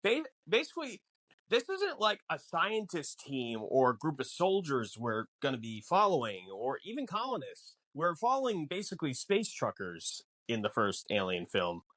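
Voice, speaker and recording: A man's voice: a little high, huge pitch swings, normal speed, pushed and bright, no room sound. A man co-hosting a podcast, explaining things casually in an emphatic, rambling way. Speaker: podcast co-host. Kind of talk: casual film discussion podcast.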